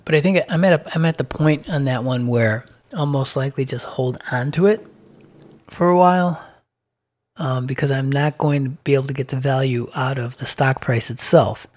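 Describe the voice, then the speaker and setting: A man with a slightly hoarse voice talks through his investment holdings in a relaxed, unscripted way. He stops and restarts often, filling gaps with ums while reading out percentages. His voice sits at an ordinary middle pitch for a man. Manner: halting, many ums and restarts, reads figures aloud. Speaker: dividend investing vlogger. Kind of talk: casual monthly portfolio recap.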